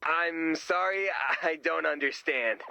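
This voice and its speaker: An actor playing a nervous, tactless young male camper who babbles anxiously, in an animated video game style. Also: on the high side, takes it slowly, creaky, heavy background noise. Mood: smug, awkward.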